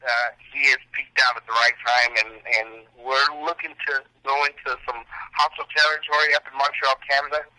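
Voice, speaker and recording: A man speaks over a dry phone line as a coach being interviewed. His voice is very sharp and bright with little pitch movement. He talks in a halting, matter-of-fact way. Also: level tone, no room sound. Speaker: kickboxing and striking coach, interview guest. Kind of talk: phone interview, recounting training. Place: phone call. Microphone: telephone.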